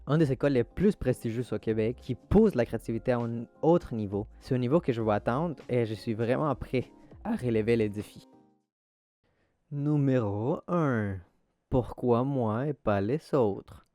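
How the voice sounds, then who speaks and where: Young man, mellow tone, scripted personal narration, faint music underneath. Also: mid-range voice, a little fast. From abroad, immigrated to Canada. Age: young adult. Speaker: art school applicant presenting himself.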